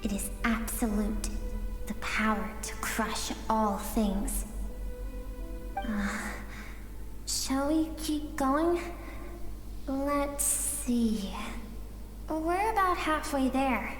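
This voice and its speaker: A woman voicing a composed guide in a video game, narrating in a deliberate, theatrical way. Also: high-pitched, expressive, full character voice. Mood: ominous, confiding. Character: composed female guide narrating an ominous past. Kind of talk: scripted game dialogue, storytelling. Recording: long echoey reverb with music behind.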